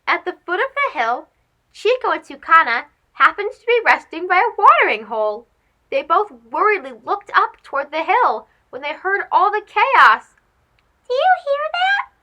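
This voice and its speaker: A woman narrating a children's story as a read-aloud host, expressive, dramatic and clearly phrased. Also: extremely high pitch, moderate pace, dull audio.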